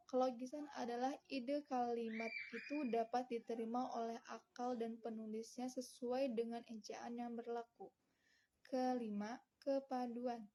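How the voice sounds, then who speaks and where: A young adult woman, a student, giving a scripted presentation read aloud in a formal, recited manner with halting breaks between phrases. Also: fairly high pitch, dark tone, a little hiss or hum.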